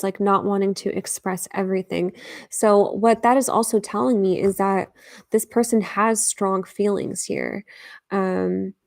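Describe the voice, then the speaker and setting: Woman reading tarot live, chatty, lots of um and like, fry in her voice, dry close sound. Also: mid-range voice, darkish tone, no room sound. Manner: chatty, thinks aloud with fillers, whispers at times. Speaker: tarot reader.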